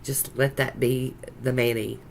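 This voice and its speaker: A congested woman with a croaky voice and a Southern US accent, chatting casually in a folksy, rambling way as she narrates a nail art tutorial. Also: rumbling low, level tone, measured pace. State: congested with a sinus infection, sore throat. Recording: noticeable background noise.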